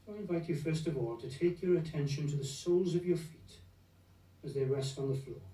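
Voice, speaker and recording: A man leading a guided meditation in a gentle, unhurried, soothing manner, leaving long pauses between phrases. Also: a little high. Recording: roomy echo, distant from the mic.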